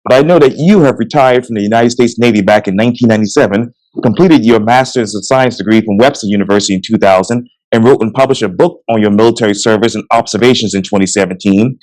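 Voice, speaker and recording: A male interviewer talks quickly at a middle pitch. He leans on the same filler words as he sets up his questions. The sound is dry and close, like a video call. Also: darkish tone, dry recording, rough recording. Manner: brisk, repeats "obviously". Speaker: interview host.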